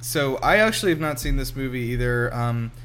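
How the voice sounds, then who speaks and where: A man's voice: medium pitch, lively intonation, a little fast, fairly bright tone, a little hiss or hum. A man in his twenties hosting a casual film commentary chat, asking lots of questions as he goes. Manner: inquisitive, asks lots of questions. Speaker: podcast host.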